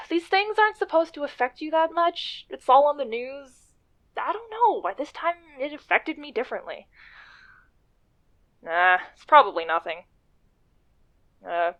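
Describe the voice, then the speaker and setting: A self-conscious businesswoman in a radio play. Her voice sits slightly high, and she talks haltingly and wryly, often correcting herself. The recording is a bit dull and lo-fi. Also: very animated, a bit bright, exaggerated voice. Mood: uneasy, musing. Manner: halting, self-interrupting, wry. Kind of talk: radio drama acting, halting confessional monologue.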